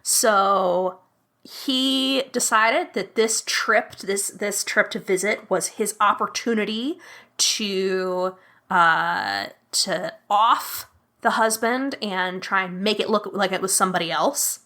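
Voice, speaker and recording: Unhurried, bright-voiced woman player. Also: medium pitch. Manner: thinks aloud, unhurried, with many fillers. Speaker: guest player. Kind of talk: playing a tabletop mystery game.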